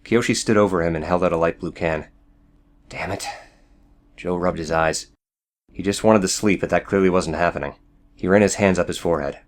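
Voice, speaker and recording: A man's voice: deep voice, fairly quick, long pauses. A man reading scripted fiction as the narrator of an audiobook.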